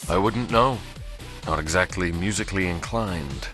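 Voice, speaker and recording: An actor playing a courtly, reserved vampire in a video game, speaking formally and in measured phrases. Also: male voice, low voice, soft background music, natural voice. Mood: courteous, dry. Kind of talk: scripted game dialogue.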